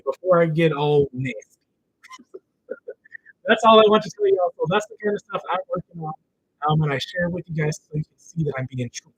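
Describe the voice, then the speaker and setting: A man talks casually to his community, his voice raspy from a recent cold. He sits a little high for a man and swings widely in pitch, lively and slangy, and the rasp is heavy throughout. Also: low-quality recording. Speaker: notary business host. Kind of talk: casual livestream chat.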